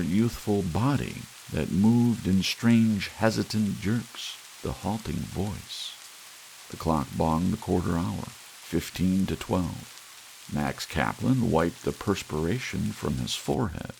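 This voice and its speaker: A man narrates a short story in a hushed, soothing audiobook manner. His voice sits very low and carries a gravelly fry. He takes his time over every phrase. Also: animated, takes it slowly, slight background noise, low-quality recording. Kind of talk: soft ASMR story reading.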